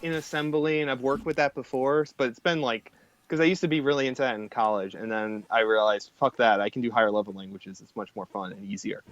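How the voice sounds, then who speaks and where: A man's voice: medium pitch, fairly even intonation, fast talker, dry recording. A man talking in an informal, sweary way in a casual tech and gaming chat. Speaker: programmer guest on a stream. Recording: music playing behind the talk. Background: music.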